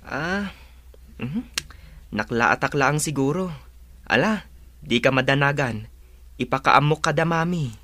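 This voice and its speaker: An actor playing an evasive young boyfriend in a radio drama, halting and uneasy. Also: male voice, on the high side, animated, pushed and bright, no room sound. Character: hesitant, evasive young boyfriend and dutiful son.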